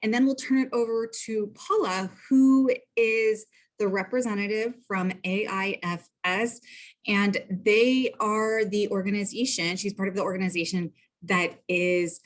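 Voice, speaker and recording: A woman's voice: medium pitch, fairly even intonation, a little slow. Woman instructor, host of an online info meeting, friendly and organized, video-call mic. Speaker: communication instructor hosting an info session.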